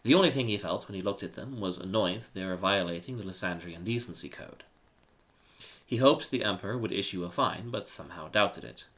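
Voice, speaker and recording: A man's voice: low-pitched, brisk, fairly bright tone. A man reading a humorous short story aloud in a dramatic scripted narration. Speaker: story reader.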